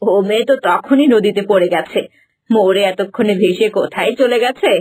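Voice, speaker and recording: A woman's voice: medium pitch, normal speed, a little mellow, natural voice. A woman voicing a cruel, scheming middle-aged stepmother in a cartoon, venomous and gloating as she talks to herself. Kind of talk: cartoon voice acting. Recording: music bed underneath.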